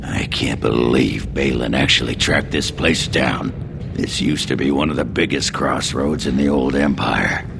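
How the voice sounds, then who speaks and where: Fantasy game dwarf: bottomed-out pitch, gravelly rasp, crude and surly, noisy background. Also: male voice, measured pace, full character voice. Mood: gruff, matter-of-fact. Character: gruff, crude, surly dwarf warrior companion. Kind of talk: fantasy video game dialogue.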